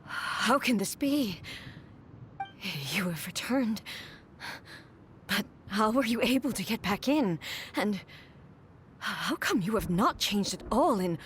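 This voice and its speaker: A woman voicing a grave warrior in a video game, with a deliberate, dramatic delivery. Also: expressive. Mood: astonished. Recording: faint rumbling noise.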